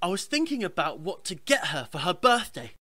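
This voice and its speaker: A woman's voice: fairly low pitch, big pitch swings, fairly quick, sharp tone, heavily acted. A woman playing an earnest, plucky young male hero in a radio pantomime. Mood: hopeful.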